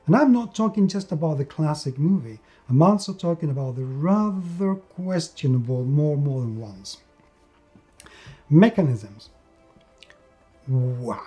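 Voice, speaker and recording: A man giving a conversational tabletop game review, emphatic, with repetition and dramatic pauses. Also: fairly high pitch, animated, warm tone. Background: background music.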